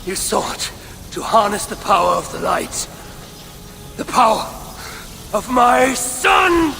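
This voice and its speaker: A man's voice: sits high, big pitch swings, slow pace, vocal fry, raised voice, heavily acted. An actor playing a wrathful, commanding god and vengeful father in a grand, menacing, theatrical game performance. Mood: menacing fury. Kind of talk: dramatic game cutscene acting. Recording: long reverb, music behind.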